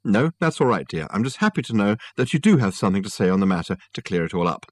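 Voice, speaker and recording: Man putting on a brisk, sweetly ruthless mother. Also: on the low side, very animated, dry recording. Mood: sweet, dismissive. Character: brisk, sweetly ruthless upper-class mother, magazine owner.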